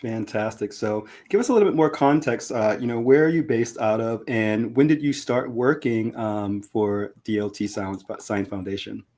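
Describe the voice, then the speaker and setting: A man's voice: fairly low pitch, normal speed, few pauses, a little mellow. A man hosting a live podcast, upbeat and friendly as he introduces the show and asks questions, with some fillers. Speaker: podcast host. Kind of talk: casual livestream podcast hosting.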